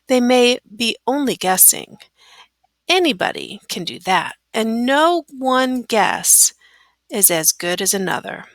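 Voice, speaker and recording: A woman voicing a shrewd, skeptical crow who muses to himself in a children's storybook, speaking deliberately. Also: a little high, a bit bright, dry recording, full character voice. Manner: deliberate muttering, musing.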